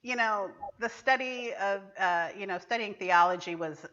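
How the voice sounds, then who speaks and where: A woman from Trinidad talks through her life story as a podcast guest, pausing on fillers and taking her time. Her voice is bright and forceful, sitting mid-range for a woman. The audio is muffled and lo-fi. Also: mid-range voice. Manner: reflective, unhurried storytelling with many fillers. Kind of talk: casual interview chat. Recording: dull lo-fi audio.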